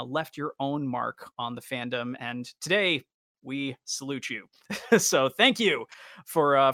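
Bright-voiced man co-hosting a podcast. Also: mid-range voice, no echo, studio quality. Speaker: podcast co-host. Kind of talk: casual chat.

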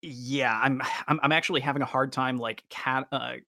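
Bright-voiced man chatting on a podcast. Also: mid-range voice, steady tone, no room sound, studio quality. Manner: halting, stammering, enthusiastic, wordy. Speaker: podcast co-host. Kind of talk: casual podcast discussion.

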